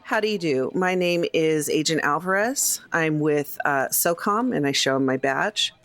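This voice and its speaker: Female role-play gamer, low voice, casual and conversational delivery. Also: animated, moderate pace, a bit bright. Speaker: tabletop game player.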